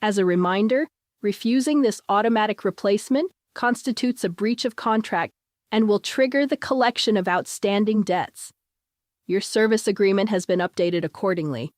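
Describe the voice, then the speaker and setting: A woman's voice: medium pitch, normal speed, darkish tone, dry recording, studio quality, close to an everyday voice. A woman voicing a cold, bureaucratic automated machine in a story, speaking in formal, impersonal phrases. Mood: coldly formal. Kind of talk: audiobook character voice.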